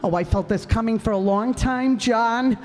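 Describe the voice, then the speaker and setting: A woman voicing a nagging, sharp-tongued wife in a community radio comedy play, scolding and sarcastic. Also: fairly high pitch, normal speed, a little hiss or hum, close to an everyday voice. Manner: scolding, sarcastic, swings into tearful pleading. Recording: stage recording with long hall reverb.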